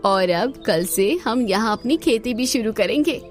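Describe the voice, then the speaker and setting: एक औरत जो एक दयालु, होशियार जवान बहू को आवाज़ देती है।. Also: female voice, fairly high pitch, animated, unhurried, faint music behind. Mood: gentle. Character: kind, resourceful young daughter-in-law.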